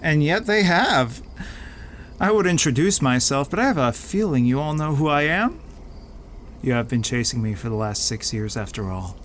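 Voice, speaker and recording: An actor playing a smug, menacing crime lord in an audio drama, pompous and taunting with drawn-out mock politeness. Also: male voice, on the high side, animated, a bit bright, a little hiss or hum. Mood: smug, gloating. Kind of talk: audio drama villain acting.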